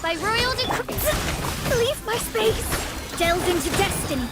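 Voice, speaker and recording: A woman's voice: extremely high pitch, expressive, measured pace. A woman voicing a theatrical young fantasy game heroine with grandiose, dramatic delivery. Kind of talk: video game character battle lines. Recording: loud game music and effects.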